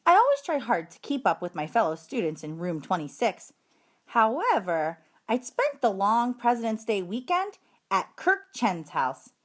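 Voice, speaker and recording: A woman reading a children's story aloud in an expressive, emphatic storytelling manner. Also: fairly high pitch, normal speed. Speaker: storybook reader, teacher.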